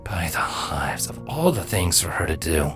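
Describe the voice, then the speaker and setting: A woman's voice: extremely low pitch, sing-song, moderate pace, faint music behind. A woman voicing a weary, exasperated changeling guard in a terse, sighing manner. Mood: weary exasperation.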